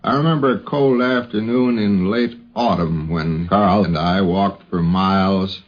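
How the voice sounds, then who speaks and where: A man recalls the past at a very slow pace. His voice is low and his phrasing deliberate and literary. The sound is dull 1950s radio. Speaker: newspaper columnist. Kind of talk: literary reminiscence.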